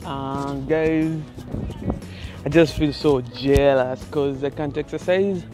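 A man's voice: mid-range voice, fairly even intonation, slow pace, music in the background. Young man vlogging on a bike. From Kenya. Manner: chatty, keeps calling out to viewers as "guys"; talking while riding a bicycle. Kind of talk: casual vlog to camera. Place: outdoors on an open roadside. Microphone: phone held at arm's length.

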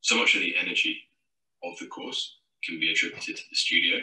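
Male student from Malaysia, low and flat, distant roomy recording. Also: a little fast. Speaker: architecture student. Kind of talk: testimonial for a promo film.

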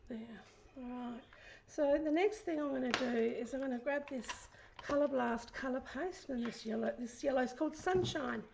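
A woman with a croaky, hoarse voice, chatting casually through a craft tutorial and often dropping into a whisper as she works. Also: high voice, normal speed, background noise. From Australia. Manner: chatty, often drops to a whisper while working. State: hoarse, recovering from illness. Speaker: craft vlogger. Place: craft desk indoors, fan running.

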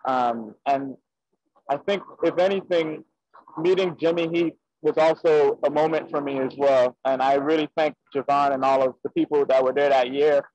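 A man's voice: medium pitch, no echo. A young man from the Bahamas, a guest musician talking casually on a video call with many fillers. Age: young adult. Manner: relaxed, frequent fillers. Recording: dry, dull lo-fi call audio.

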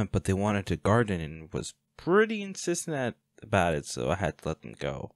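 A man playing a protective, defiant hunter boyfriend in an intimate roleplay audio drama, speaking unhurriedly with sighs and pauses. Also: deep voice, wildly swooping pitch, no echo, full character voice. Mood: fond, wry. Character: protective, defiant boyfriend, a monster hunter, tender but ruthless.

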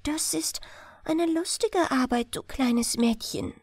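A woman's voice: high voice, slow pace, dry recording, studio quality. Woman voicing a light, coaxing water nixie. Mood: coaxing, friendly. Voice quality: bright, light voice. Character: mysterious, friendly water nixie disguised as beautiful woman. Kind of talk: bedtime fairy-tale reading.

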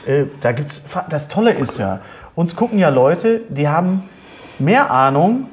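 A middle-aged man hosting a casual interview, chatty and halting, repeating words as he thinks. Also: on the high side, sing-song, a little hiss or hum. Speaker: talk show host. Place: small indoor studio set at a table.

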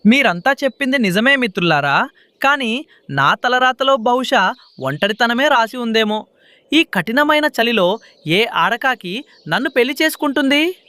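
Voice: mid-range voice, expressive, a little slow, pushed and bright, no room sound. A performer voicing a lonely, aging male crow in a children's bird cartoon. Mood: dejected.

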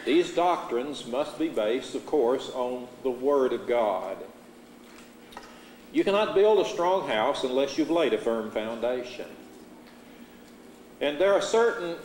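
A middle-aged American man preaches from the pulpit in a measured, earnest voice of middle pitch. His voice carries a long reverb from the church sanctuary, recorded on a lapel mic with a faint hum behind it. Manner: measured, earnest preaching with steady Scripture reading. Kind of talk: sermon. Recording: echoey church hall, faint room noise.

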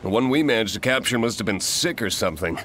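A man's voice: fairly low pitch, lively intonation, fast, lightly acted. A man playing a casual, dismissive village hunter in a fantasy game. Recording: loud game noise behind.

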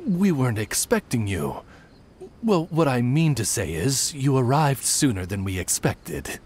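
A man's voice: medium pitch, big pitch swings, measured pace, darkish tone, breathy edge. A man voicing a deferential talking raven familiar in a video game, formal, polite and a little hesitant. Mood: flustered, polite. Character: courteous male talking raven familiar, deferential butler-like servant. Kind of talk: scripted video game dialogue.

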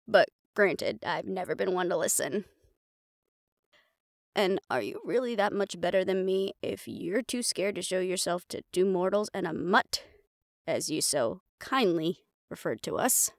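Casting: sardonic, stubborn huntress played by a woman, lively creaky voice, audio drama. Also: lowish voice, unhurried, no room sound, studio quality. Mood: defiant. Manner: wry, defiant, casual. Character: stubborn, sardonic, tough female supernatural hunter. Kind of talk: audio roleplay acting.

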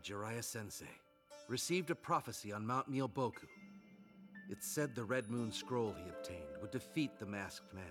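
A man voicing a calm, courteous, resolute adult ninja in an anime dub, earnest and composed. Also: lowish voice, lightly acted.

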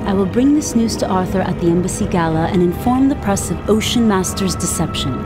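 A woman's voice: medium pitch, animated, over music, close to an everyday voice. A woman playing a proud, dignified undersea warrior queen in a video game, speaking formally and regally. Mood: resolute. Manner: formal, regal, measured diction.

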